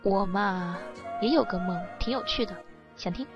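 An actress playing a nonchalant young woman adrift at sea in an audio drama, teasing with languid, deadpan frankness. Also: medium pitch, moderate pace, highs cut off, loud music behind the voice, lightly acted. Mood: dreamy, detached.

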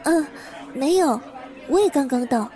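A woman's voice: sits high, animated, measured pace, dark tone, a faint crowd. A woman acting a haunted, anxious young woman who narrates her childhood trauma in a radio drama. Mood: polite, reserved. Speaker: voice actor and narrator. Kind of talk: first-person radio drama narration and dialogue. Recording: reverberant echo with music underneath. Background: music.

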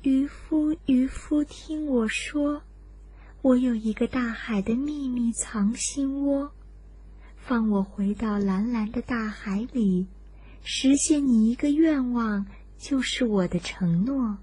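A woman plays a magical storybook fish, gently pleading in rhyme. The voice is very breathy and raised a little, with slow, chanted phrasing. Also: fairly high pitch, poor audio. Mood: pleading, mysterious.

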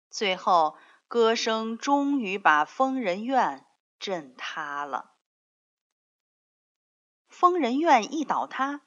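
A woman narrating a scripted fairy tale for young children in an expressive storytelling manner. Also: medium pitch, highs cut off.

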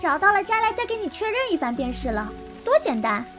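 A woman plays a stubborn young wild girl in a wuxia radio play, with a very high, childlike voice. She is curt and bossy yet protective, over background music. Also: sing-song, quick pace. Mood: determined. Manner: curt, brusque, bossy but caring. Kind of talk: Chinese period wuxia audio drama acting.

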